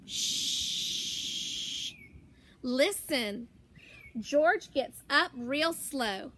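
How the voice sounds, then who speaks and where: A woman reading a children's picture book aloud as a teacher would, expressively, with drawn-out words and deliberate pauses. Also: high voice, expressive, noisy background. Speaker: primary school teacher.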